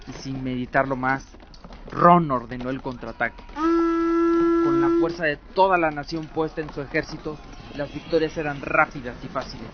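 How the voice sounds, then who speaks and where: A man narrating a scripted fantasy radio drama in a solemn storytelling manner. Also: on the high side. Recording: dull lo-fi audio with music behind. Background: music.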